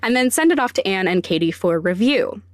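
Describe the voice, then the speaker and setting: Woman, podcast host, scripted explainer, mid-pitched, wry, self-deprecating, dry recording. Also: animated, fairly quick, no room sound. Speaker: podcast host and sound designer.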